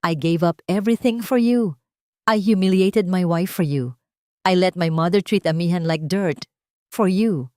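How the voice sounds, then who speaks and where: A woman's voice: fairly low pitch, measured pace, a little mellow, dry recording, studio quality, close to an everyday voice. A woman voicing a broken, anguished, remorseful husband who has been betrayed, in an audio story.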